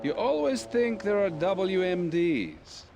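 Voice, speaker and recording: An actor playing a sardonic, indignant Russian police captain in a video game cutscene, with a sarcastic, theatrical manner and a Russian accent. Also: male voice, high voice, sing-song, slow, vocal fry, background noise, full character voice. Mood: mocking denial.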